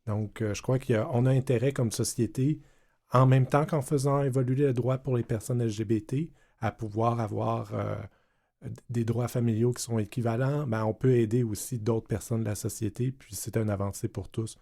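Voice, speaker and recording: A man, speaking in a measured, soft-spoken way as a panel guest. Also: medium pitch, fairly even intonation. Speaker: community center director, panel guest. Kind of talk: round table discussion.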